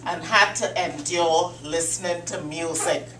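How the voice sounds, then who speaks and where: Woman speaker telling a comic story, very unhurried, mic far away in an echoey meeting room. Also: fairly low pitch. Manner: deliberate dramatic storytelling with pauses. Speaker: Toastmasters club speaker. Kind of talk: prepared humorous speech. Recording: echoey room, distant mic, faint hum.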